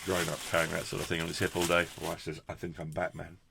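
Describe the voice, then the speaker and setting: A man's voice: low voice, flat delivery, fast talker, gravelly, background noise. A British man presenting a casual hands-on product review in a chatty, jokey, effusive way with quaint turns of phrase. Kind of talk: casual hands-on unboxing commentary.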